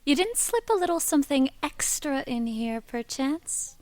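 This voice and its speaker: A defiant young rich daughter in an audio drama. Her voice is distinctly high. She is sardonic and challenges her elders. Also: sing-song, moderate pace, darkish tone, no echo. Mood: sly, sardonic.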